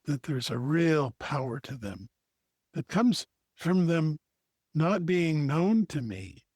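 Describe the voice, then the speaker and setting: A man's voice: on the high side, big pitch swings, slow pace, darkish tone, husky, no room sound, studio quality. A man co-hosting a podcast, speaking in a thoughtful, earnest, reflective way. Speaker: podcast co-host. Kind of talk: reflective conversation.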